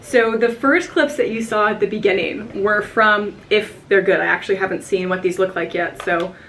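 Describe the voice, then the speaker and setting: A woman's voice: medium pitch, steady tone, moderate pace, fairly bright tone, slight background noise. An American woman vlogging casually to camera, a little tipsy, chatty and rambling. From United States. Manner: chatty, giggly, rambling with fillers. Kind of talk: casual vlog chat to camera. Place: hotel room indoors.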